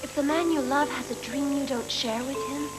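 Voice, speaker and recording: Woman voicing a high-pitched, earnest cyborg warrior girl. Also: a little fast, close to an everyday voice, low-quality recording. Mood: brooding, jealous. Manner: earnest, dramatic. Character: young cyborg warrior girl, devoted, fierce, lovestruck. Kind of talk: dubbed anime voice acting. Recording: music behind, old VHS tape dub.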